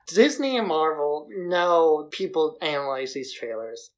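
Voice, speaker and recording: A man's voice: a little high, expressive, measured pace. Casual, opinionated male podcast co-host. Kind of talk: casual film discussion podcast.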